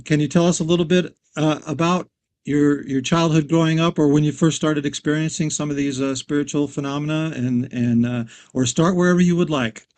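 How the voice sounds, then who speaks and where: A man's voice: fairly high pitch, steady tone, a little fast, a little mellow. A man hosting an interview, introducing his guest and asking loose, friendly questions. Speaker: interview host.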